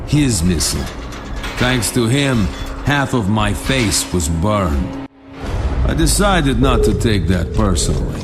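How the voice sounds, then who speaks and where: Battle-scarred turncoat soldier for a game: low, wry, widely inflected, grimly unbothered. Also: male voice, sing-song, slow pace, loud music behind the voice. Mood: wry recounting. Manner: dry, sardonic. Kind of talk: video game cutscene acting and narration.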